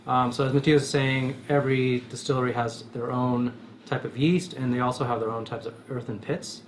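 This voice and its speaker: American man, medium pitch, bar co-founder, casual explanatory interview, faint background noise. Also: fairly even intonation, brisk. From United States.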